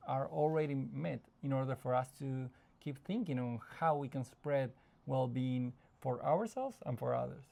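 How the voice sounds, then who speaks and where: A man from Argentina, a psychologist guest, talking in a relaxed interview, thoughtful and often pausing to find his words. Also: medium pitch, creaky. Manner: thoughtful, searching for words, frequent restarts, laughs easily. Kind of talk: casual conversational interview.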